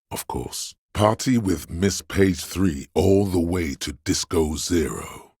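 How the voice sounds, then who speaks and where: A man voicing a sleazy, hedonistic inner voice of addiction in a video game, with a slow, coaxing, streetwise manner and a deep gravelly, husky sound. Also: darkish tone, dry recording, clean studio sound, heavily acted. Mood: sleazy, mocking. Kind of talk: video game character voice acting.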